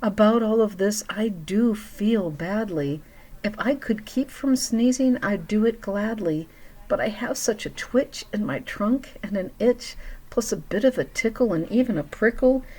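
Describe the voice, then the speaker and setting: A woman's voice: mid-range voice, animated, unhurried, darkish tone, pitched above the performer's own voice, low-quality recording. A woman doing a fretful, sneezy elephant. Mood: apologetic. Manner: sing-song rhyming, dramatic.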